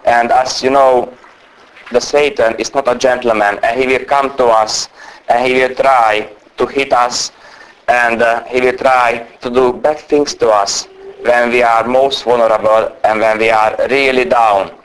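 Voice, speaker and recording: Fast-talking man preaching with a strong non-native accent. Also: medium pitch, fairly even intonation, low-quality recording. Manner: naturally fast talker trying to speak slowly. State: unwell with a cold or flu. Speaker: guest preacher. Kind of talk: sermon.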